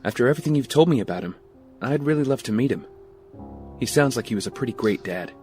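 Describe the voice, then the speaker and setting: An actor playing a weary, guarded castaway with a secret life, speaking in a measured, understated way. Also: male voice, mid-range voice, lively intonation, darkish tone, soft background music, close to an everyday voice. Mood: relaxed, fond. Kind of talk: audio drama acting.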